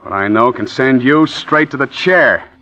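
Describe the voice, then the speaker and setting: A man's voice: medium pitch, animated, a little slow, bright, forceful tone. An actor playing a sly, taunting elevator man who blackmails, in 1940s radio acting on an old dull recording. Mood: smug menace. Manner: smug, drawling, taunting.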